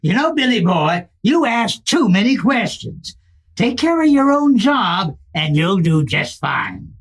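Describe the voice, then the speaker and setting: A woman voices a cocky outlaw lieutenant in a Western radio drama. The delivery is animated and condescending, with folksy American cowboy talk. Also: mid-range voice, a little slow, breathy edge, exaggerated voice. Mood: condescending, boastful. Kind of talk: Western radio play acting.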